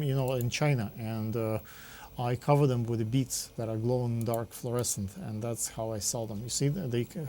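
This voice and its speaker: A man hosting a public access TV interview, talking haltingly with many fillers and giving set directions. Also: mid-range voice, warm tone, slight background noise.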